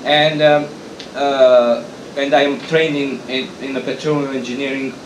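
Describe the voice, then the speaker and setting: A man's voice: medium pitch, little pitch movement, background noise. Man presenting in an echoey hall. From Romania. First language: Hungarian. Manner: halting, frequent restarts and fillers. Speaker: conference speaker, association president. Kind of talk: conference presentation. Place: conference hall.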